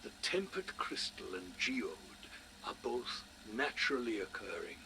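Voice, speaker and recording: Scholarly guy reading a research log, slow and measured. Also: on the low side, very animated, gravelly, sharp tone, slight background noise, rough recording. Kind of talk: scripted game audio log narration.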